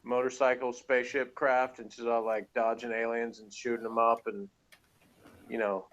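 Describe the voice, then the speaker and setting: A man's voice: mid-range voice, flat delivery, moderate pace, a bit bright. Man, dull lo-fi sound. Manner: jokey, teasing. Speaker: podcast co-host. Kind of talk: casual joking banter.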